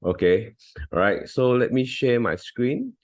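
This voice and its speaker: A man's voice: mid-range voice, lively intonation, a little slow, dry recording. A man with a Malaysian English accent, not a native English speaker, giving a hesitant volunteer talk with many fillers and restarts over a video call.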